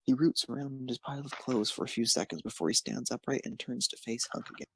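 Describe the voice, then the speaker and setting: A man's voice: mid-range voice, steady tone, fast talker, creaky, breathy edge. A man reading scripted fan fiction narration, occasionally stumbling over the words.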